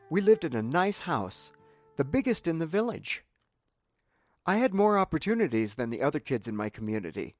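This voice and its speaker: A man's voice: medium pitch, no echo, clean studio sound. A man from Cambodia with a Cambodian accent, reading his own inspirational memoir as scripted narration in an earnest, preaching manner.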